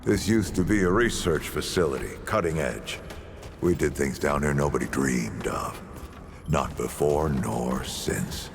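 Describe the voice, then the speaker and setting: An actor playing a weary, reflective veteran founder and explorer in a video game, telling his story with deliberate drama. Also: rumbling low, gravelly, over music, exaggerated voice. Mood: inviting, nostalgic, then solemn, wistful.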